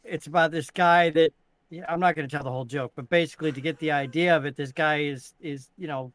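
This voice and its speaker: A man's voice: on the high side, steady tone, fast, fairly bright tone. A middle-aged man from Maine chatting and telling anecdotes informally. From Maine, USA. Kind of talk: casual anecdotal group chat.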